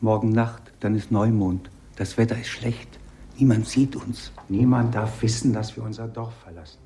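An actor gives a fisherman in a vintage radio drama a low, grave voice that declaims each line solemnly. Faint hiss from the old recording runs under it. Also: fairly even intonation, normal speed, mellow, lightly acted. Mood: grim. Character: grave Japanese fisherman leading his village.

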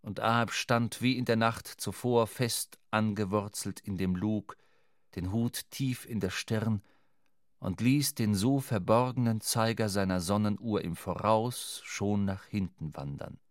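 A man narrating a literary audio drama in a measured, dramatic storytelling manner. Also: fairly low pitch, dry recording, clean studio sound.

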